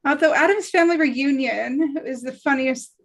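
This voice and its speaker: High-pitched woman chatting. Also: normal speed. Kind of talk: casual group chat.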